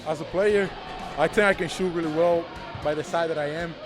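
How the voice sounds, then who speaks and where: Fast Dominican-accented teenage boy. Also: a little high, steady tone. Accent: Dominican Spanish. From Dominican Republic. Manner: quick, searching for words. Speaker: high school basketball recruit. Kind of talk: interview answers. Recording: loud music underneath.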